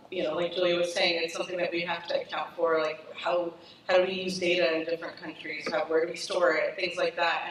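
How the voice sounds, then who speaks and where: A woman's voice: fairly low pitch, a little hiss or hum. A woman speaking casually and a bit hesitantly as a marketing panelist. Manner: casual, hesitant, light laugh. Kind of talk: panel discussion. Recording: echoey hall, distant mic.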